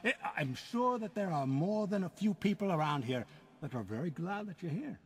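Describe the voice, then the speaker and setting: A man playing a kindly, fatherly police commissioner in a radio drama, halting and formal as he encourages. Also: sits high, very animated, breathy edge, big-room sound, voice pushed up, heavily acted. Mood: kindly, encouraging. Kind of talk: old-time radio style dramatic acting.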